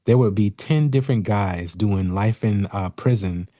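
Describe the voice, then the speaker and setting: A man's voice: on the low side, unhurried, dark tone, dry recording, studio quality. A middle-aged man talking casually and jokingly as part of a panel chat. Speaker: podcast panelist.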